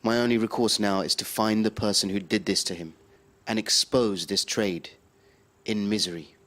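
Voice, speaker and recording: Actor as deep-voiced, questioning male journalist. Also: fairly even intonation, moderate pace, fairly bright tone, close to an everyday voice. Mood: brooding, determined. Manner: incredulous, questioning. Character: earnest male radio journalist investigating a grim trade. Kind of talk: audio drama acting.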